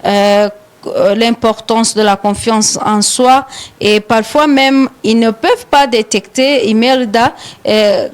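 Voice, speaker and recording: A Rwandan woman with a Rwandan French accent, hosting a live studio talk show and pausing often on fillers and repeated words. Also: on the high side, clear, resonant tone. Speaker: radio show host.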